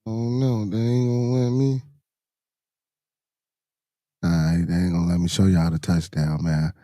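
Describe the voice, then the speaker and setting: A hoarse man with a low, dark, mellow voice hosts a casual show. He talks in loose slang and mutters to himself as he fights with the screen share. The sound is dry and close. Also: steady tone, unhurried, no echo. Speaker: podcast host. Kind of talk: casual live-stream chat.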